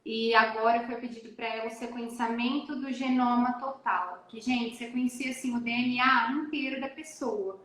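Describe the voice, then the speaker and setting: Woman on a live call, kinda high voice, tells her story all animated and emotional, super echoey room. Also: moderate pace, few pauses. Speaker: guest mother. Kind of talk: casual live chat. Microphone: phone or laptop on a live video call.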